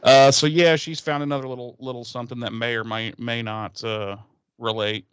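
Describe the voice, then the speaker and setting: A man with a smooth, clean voice and average pitch, recorded very dry. He talks casually as a podcast co-host, rambling through a recap with sarcasm and swearing. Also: little pitch movement, normal speed, fairly bright tone, no echo.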